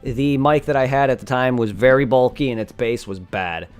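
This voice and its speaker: A man's voice: medium pitch, normal speed, clear, resonant tone, soft background music. A young man chatting casually on a live game stream, rambling and thanking his viewers. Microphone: desk condenser microphone.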